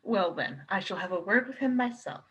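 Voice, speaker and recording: An actress in a radio play playing a loyal, spirited and protective London wife, in a theatrical style. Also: female voice, medium pitch, brisk, fairly bright tone, breathy edge, poor audio. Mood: affectionate, brisk.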